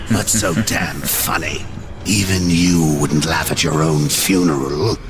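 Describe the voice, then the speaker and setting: An actor voicing a sadistic, mocking demonic jailer in a video game, crude and taunting with a cackling manner. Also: male voice, sits low, slow. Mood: gloating, mocking. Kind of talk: video game villain acting.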